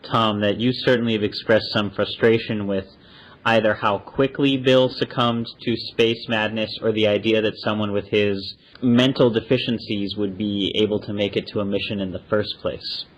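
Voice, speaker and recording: A man's voice: lowish voice, steady tone, normal speed. A man hosting a podcast, moderating a panel discussion with questions for the guests. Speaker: podcast host. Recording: muffled lo-fi audio.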